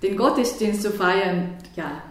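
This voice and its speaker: Korean-accented woman, slow and halting, lots of ums, really echoey room. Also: mid-range voice. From South Korea. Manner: hesitant, many fillers and restarts. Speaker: church worker. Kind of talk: radio interview answers.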